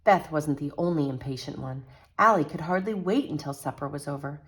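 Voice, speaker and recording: A woman storyteller with a low-pitched voice. She reads a children's picture book aloud expressively, in a teacherly manner. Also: brisk.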